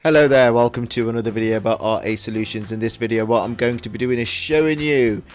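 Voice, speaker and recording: A man narrating a software tutorial in an instructional, step-by-step way. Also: medium pitch, fast, faint music behind. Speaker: tutorial host.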